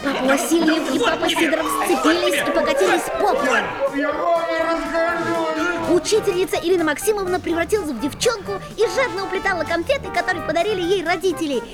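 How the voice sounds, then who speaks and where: A woman's voice: extremely high pitch, a little fast, a loud crowd. A woman voicing a mischievous young schoolboy who narrates a radio play, with music behind her.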